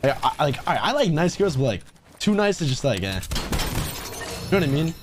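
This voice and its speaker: A man's voice: a little high, big pitch swings, a little fast, background noise. A young man gaming on stream, brash and rambling, swearing often. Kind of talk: casual voice chat while gaming.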